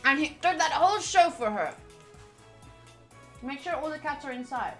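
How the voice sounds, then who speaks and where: A woman's voice: high voice, animated, moderate pace, fairly bright tone, faint music behind. A woman game streamer reading game text aloud and chatting informally with casual asides.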